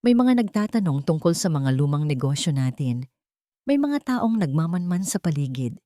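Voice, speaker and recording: A woman's voice: low-pitched, big pitch swings, moderate pace, warm tone, no echo, studio quality. A woman voicing a worried, secretive older patriarch who speaks in anxious warnings in an audio story. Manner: anxious, cautioning.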